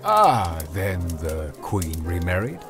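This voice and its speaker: Casting: man as mild young fantasy adventurer, deep but animated, polite, curious, clear music behind. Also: slow, exaggerated voice. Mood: inquisitive. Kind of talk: visual novel character acting. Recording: voice under prominent music.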